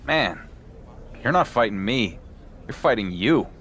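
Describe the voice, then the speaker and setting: A man's voice: mid-range voice, lively intonation, a little slow, fairly bright tone. A man playing a cocky, defiant champion wrestler in a video game, confident and pointed in his talk. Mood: cocky, needling. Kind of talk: dramatic video game dialogue. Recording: faint background noise.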